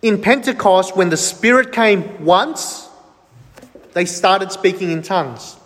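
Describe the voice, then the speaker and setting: High-voiced preacher in an echoey hall. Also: male voice, slow, fairly bright tone. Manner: emphatic, asks the congregation questions and answers them himself. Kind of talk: sermon.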